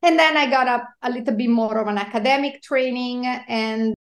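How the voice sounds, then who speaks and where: Italian accent woman, guest on a podcast, lots of uhs, echoey room. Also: fairly high pitch. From Italy. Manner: halting with many fillers, laughs softly. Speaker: guest, marine biologist. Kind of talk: interview conversation.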